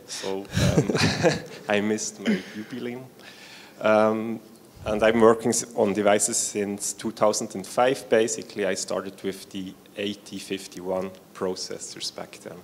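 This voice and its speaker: Low-voiced Swiss man presenting. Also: flat delivery, measured pace, a little hiss or hum. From Switzerland. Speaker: conference speaker. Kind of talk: conference talk. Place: large hall with seated audience. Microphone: handheld mic.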